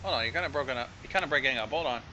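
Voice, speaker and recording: A man's voice: mid-range voice, very fast, sharp tone. A man in a casual chat as co-host of a recording session. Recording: noticeable background noise.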